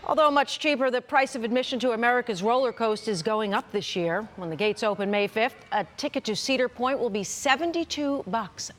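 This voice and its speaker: A woman anchoring TV news in a conversational, chatty way, speaking directly to viewers. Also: medium pitch. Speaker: TV news anchor and consumer reporter. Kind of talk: broadcast news presenting, conversational.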